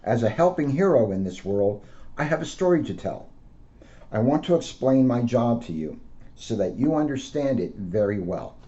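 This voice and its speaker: A man, a police officer, reading aloud to children in simple, deliberate phrases. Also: medium pitch, big pitch swings, background noise. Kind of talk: read-aloud storytelling with casual asides. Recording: dull lo-fi sound.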